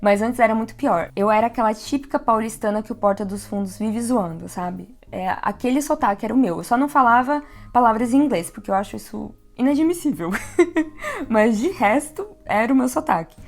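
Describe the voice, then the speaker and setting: A Brazilian woman from São Paulo with a softened São Paulo accent, giving chatty advice in a casual vlog. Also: a little high, expressive, fairly quick. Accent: São Paulo Portuguese, softened. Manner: chatty, reflective, laughs easily. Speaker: vlogger living abroad.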